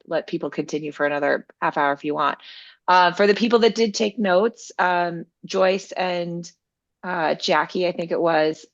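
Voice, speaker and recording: A woman's voice: low-pitched, gravelly. A woman with a cold, moderating an online group meeting in a chatty, casual way with many fillers. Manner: chatty, lots of "like" and "um". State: hoarse from a cold. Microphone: video call. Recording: muffled video call audio.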